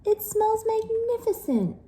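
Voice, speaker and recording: A woman voicing a vain, lazy hippo sister from a storybook, yelling in a drawn-out, squealing way. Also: very high voice, sing-song, echoey room, voice pushed up, full character voice. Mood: gushing.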